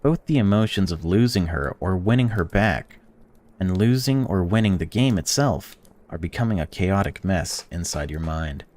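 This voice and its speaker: Very deep-voiced man reading a game aloud. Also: moderate pace. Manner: reads game text flatly, then blurts excited or indignant reactions. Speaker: gaming channel host. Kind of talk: reading a visual novel aloud while playing.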